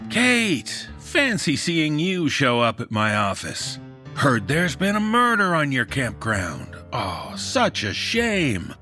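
An actor playing a smug, easily rattled small-town sheriff in a horror audio drama, with a mocking, theatrical manner. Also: male voice, on the high side, huge pitch swings, slow, pushed and bright, faint music behind, exaggerated voice.

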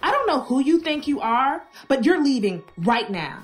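A woman's voice: high-pitched, sing-song, moderate pace, slight background noise. A woman playing a defiant, suspicious, hot-tempered and fiercely protective woman in a horror radio drama, blunt and combative. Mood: angry, panicked. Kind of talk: old time radio style horror audio drama.

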